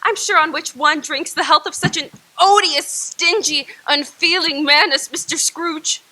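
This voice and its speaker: A woman's voice: high-pitched, measured pace, bright, forceful tone, low-quality recording. A woman playing sarcastic Mrs. Cratchit. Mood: bitter. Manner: biting, sarcastic. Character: sharp, resentful mother, Mrs. Cratchit.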